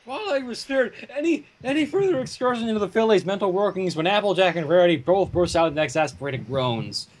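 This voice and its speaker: A man with a high, husky voice reads a story aloud. His pitch moves around a lot, and he slips between narration and joking chatter. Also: animated, fast, few pauses. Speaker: fan fiction reader and narrator. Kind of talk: animated story reading with joking banter.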